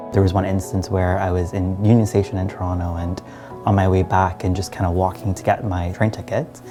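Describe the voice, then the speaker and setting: A young man from Trinidad and Guyana telling his personal story in a reflective, earnest way, as in a documentary interview. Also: sits low. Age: young adult.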